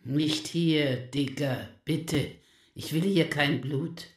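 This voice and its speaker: A man's voice: fairly high pitch, steady tone, slow pace, slightly rough. An actor playing a wary bar man in a radio crime drama, evasive and appeasing in his speech. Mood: pleading. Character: wary, pleading bar man evading a detective.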